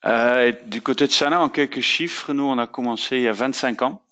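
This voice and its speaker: A man's voice: mid-range voice, brisk, fairly bright tone. Man with a light Dutch accent. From Netherlands. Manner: hesitant, filler-heavy French. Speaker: sales manager. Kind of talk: webinar presentation. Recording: dull lo-fi webinar audio.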